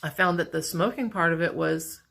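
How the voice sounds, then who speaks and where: A middle-aged woman with a raspy voice, talking candidly and a bit ramblingly in a casual personal vlog from her office at work. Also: on the low side, moderate pace, a bit bright. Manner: candid, rambling, self-deprecating. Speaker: vlogger.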